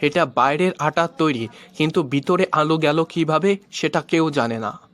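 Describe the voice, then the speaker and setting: A naive cartoon pupil, voiced by a man at a fairly high male pitch. He pesters with innocent questions, earnest and simple, in a comedy skit style. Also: normal speed, fairly bright tone, natural voice. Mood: puzzled.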